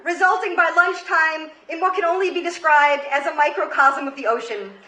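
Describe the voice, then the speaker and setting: A woman from Queens, New York, reading a scripted comedic story live on stage, with theatrical, deliberate delivery and pauses for punchlines. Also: high-pitched, pushed and bright. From Queens, New York, United States. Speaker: comedy show host and storyteller. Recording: roomy live stage recording, faint noise. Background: audience ambience and faint noise.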